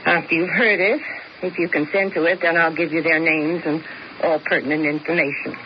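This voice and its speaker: A woman's voice: low-pitched, fairly even intonation, brisk, close to an everyday voice. An actress playing a coolly scheming, refined woman in a 1970s radio mystery, with arch, precise, theatrical phrasing. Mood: arch, composed. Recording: muffled, hissy old radio recording.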